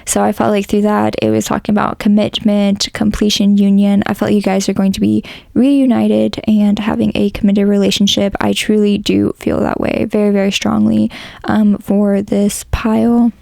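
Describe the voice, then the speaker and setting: A woman narrates a tarot reading in a loose, rambling way, full of ums and likes. Her voice is hoarse and gravelly, with a mellow tone. The recording is close and dry. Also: medium pitch, flat delivery, normal speed, no room sound. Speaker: tarot reader.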